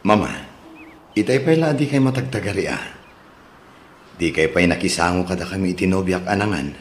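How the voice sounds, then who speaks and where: A man acting as a respectful, gentle grown son in a radio drama, with an Ilocano accent. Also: low voice, lively intonation, a little fast, a little hiss or hum. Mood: pleading.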